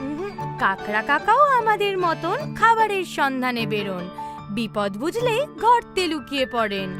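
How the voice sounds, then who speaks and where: A woman's voice: high-pitched, big pitch swings, normal speed, over music. A woman voicing a caring mother hen in a children's cartoon, coaxing and teaching her chicks politely. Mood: caring, explaining. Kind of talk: children's cartoon story acting.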